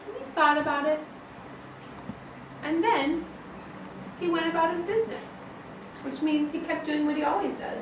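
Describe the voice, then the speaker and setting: A woman primary school teacher reading a picture book aloud to a young class with expressive, emphatic storytelling. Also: sits high. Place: indoor classroom, children present. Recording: echoey classroom from far off, some noise.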